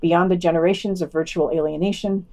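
A woman's voice: fairly low pitch, steady tone, fairly quick, darkish tone. A woman reading scripted news items and long quotations for a podcast, dropping in wry asides.

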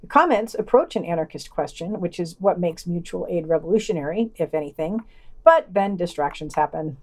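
A woman's voice: fairly low pitch, lively intonation, fairly quick. A woman reads a scripted news digest as a podcast co-host, adding dry, sardonic asides.

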